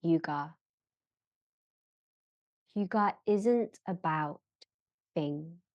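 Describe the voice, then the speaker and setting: A woman guiding a yoga nidra relaxation in a gentle, measured manner, leaving long pauses between short phrases. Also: deep voice, dry recording, muffled recording. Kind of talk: slow guided relaxation meditation.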